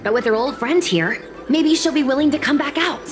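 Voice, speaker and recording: A woman voicing a brisk, capable young woman adventurer who takes charge in an anime-style game. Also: sits high, lightly acted. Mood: businesslike. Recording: game music behind the voice.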